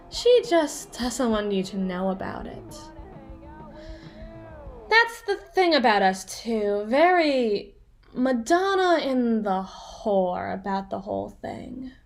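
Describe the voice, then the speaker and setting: Woman acting a high-pitched, teasing yandere girl. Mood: sly, taunting. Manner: teasing, sassy, theatrical, drawn-out emphasis. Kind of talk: ASMR roleplay monologue addressed to the listener.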